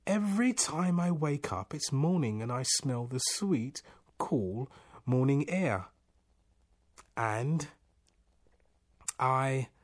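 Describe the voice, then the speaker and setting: A bewildered, desperate storybook man, played by a male reader, pushing his voice high and swinging it widely. He sounds husky and strained, halting yet insistent. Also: animated. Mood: flustered. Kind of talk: storybook character reading.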